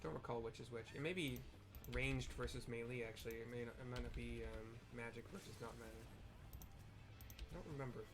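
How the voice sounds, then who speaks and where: A man's voice: medium pitch, brisk, music in the background. A man narrating his gameplay in casual commentary, chatty and descriptive with playful asides. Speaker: gaming video narrator.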